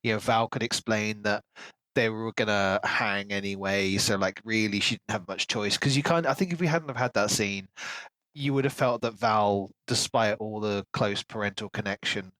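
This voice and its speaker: A man's voice: on the low side, moderate pace, fairly bright tone, no room sound, poor audio. A man co-hosting a podcast, casually discussing a book and telling it like a story, often acting out imagined dialogue. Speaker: podcast co-host.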